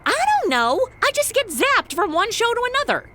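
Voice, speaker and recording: A woman's voice: very high voice, sing-song, moderate pace, background noise. An actress playing a breezy, sarcastic modern young woman in a radio comedy, flippant and full of wisecracks. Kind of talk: comedy audio drama.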